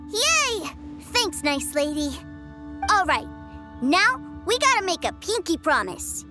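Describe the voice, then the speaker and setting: High-pitched, earnest little boy. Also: very animated, slow pace, exaggerated voice. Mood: excited, joyful. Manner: earnest, childlike, emphatic. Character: cheerful, trusting little boy devoted to his big brother. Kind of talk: video game voice acting. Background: prominent music.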